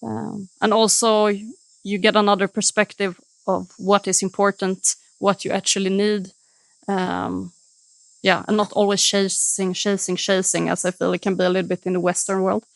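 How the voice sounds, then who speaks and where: Gravelly, reflective woman. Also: mid-range voice, flat delivery, normal speed, no echo, low-quality recording. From Sweden. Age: 30s. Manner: reflective, hesitant with fillers. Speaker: interviewee, fitness coach.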